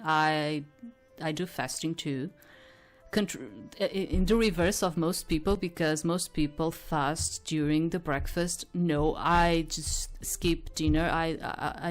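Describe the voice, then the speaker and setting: Female gaming host, lowish voice, leisurely pace, casual rambling asides. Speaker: gaming host playing a visual novel. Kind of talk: let's play, reading game text aloud with casual commentary.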